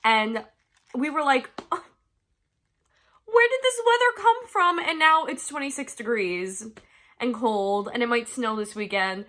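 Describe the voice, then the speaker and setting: Young woman vlogger, stuffy nose from a cold, bright, slightly high voice, chatty and self-deprecating. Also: animated, measured pace, pauses often. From United States. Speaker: crafting vlogger. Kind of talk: casual chat to camera. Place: her bedroom at home.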